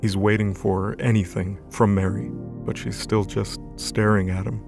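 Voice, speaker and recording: A man narrates an audio drama in a low, gravelly voice. His scripted storytelling stays fairly even in pitch, with music playing clearly underneath. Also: normal speed.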